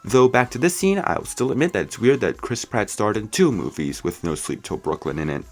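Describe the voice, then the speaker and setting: A man's voice: on the low side, animated, brisk, faint music behind. A man narrating a scripted movie review as commentary. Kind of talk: scripted video essay commentary.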